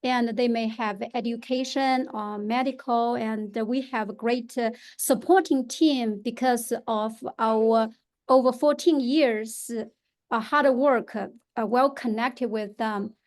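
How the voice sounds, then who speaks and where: Woman w/ chinese accent, kinda high voice, lots of uhs and restarts. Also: fairly even intonation. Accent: Mandarin Chinese. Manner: halting English with many fillers and restarts. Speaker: real estate agent. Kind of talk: interview guest, unscripted.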